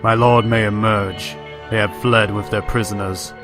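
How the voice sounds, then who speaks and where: A man's voice: fairly low pitch, fairly even intonation, normal speed, music in the background, close to an everyday voice. A man playing a formal, solemn and deferential imperial servant in an audio drama.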